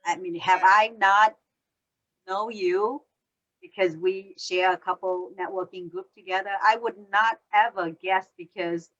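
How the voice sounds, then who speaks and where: A woman's voice: mid-range voice, fairly even intonation, a little slow, fairly bright tone, lo-fi sound. A woman hosting an interview, speaking English as a with grammar and asking friendly questions. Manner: non-native grammar, friendly prompting questions. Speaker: podcast host. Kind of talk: casual interview chat.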